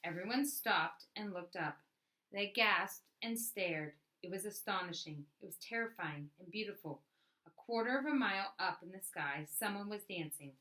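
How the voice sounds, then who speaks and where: A woman reading a picture book aloud as a storyteller, with expressive narration and dramatic emphasis on key words. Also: medium pitch, moderate pace, low-quality recording.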